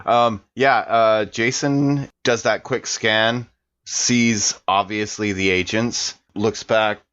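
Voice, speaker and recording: A man with a lowish voice joins in a tabletop roleplaying game, casually talking through his character's actions. The recording of him is a little dull and lo-fi. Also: fairly even intonation, takes it slowly, a bit bright. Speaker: player on a tabletop roleplaying podcast.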